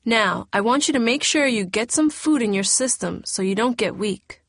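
A woman's voice: a little high, expressive, normal speed, a bit bright, no room sound, studio quality, voice pushed up. A woman doing a firm, caring school nurse. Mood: concerned. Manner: motherly, advising.

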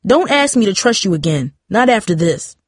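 A woman voicing a stern, protective, angry father in an audiobook story, scolding forcefully. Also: on the low side, fast, no echo, studio quality, close to an everyday voice. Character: furious, protective father confronting daughter and boy.

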